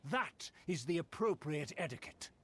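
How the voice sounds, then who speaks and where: A man's voice: on the high side, very animated, normal speed, fairly bright tone, breathy edge, exaggerated voice. A man playing a haughty, pompous aristocrat of a fallen clan in a video game, scornful and formal in his diction. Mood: haughty indignation. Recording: music behind the voice.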